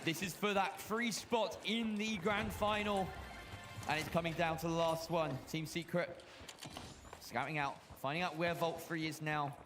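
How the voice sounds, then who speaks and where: A man giving live play-by-play commentary on an esports match, building to loud calls at the big plays. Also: on the high side, fairly even intonation, over music. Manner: fast, rising to loud shouts at big plays. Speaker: esports caster.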